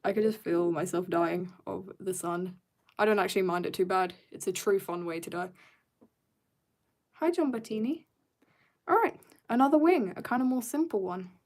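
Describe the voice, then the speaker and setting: A teenage girl from South Africa chatting casually to her viewers while she draws, rambling and joking at herself, sometimes dropping to quiet mutters. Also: medium pitch. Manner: chatty, rambling, self-mocking asides. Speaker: art streamer. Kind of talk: casual chat while drawing.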